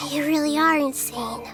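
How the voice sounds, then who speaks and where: A woman voicing a small, gentle, wise childlike girl deity in an anime-style game, earnest and polite. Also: sits high, fairly even intonation, slow, music in the background. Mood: probing, exasperated.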